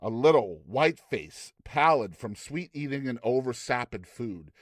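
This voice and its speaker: A man narrating classic fiction as a dramatized audiobook, with measured, theatrical storytelling. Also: medium pitch, expressive, no echo, studio quality. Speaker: narrator, reader.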